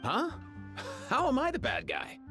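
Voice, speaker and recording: A woman voicing a laid-back, teasing young woman with dry, expressive delivery in a video game. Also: sits high, a little slow, over loud music, full character voice. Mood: exasperated. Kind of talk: video game character acting.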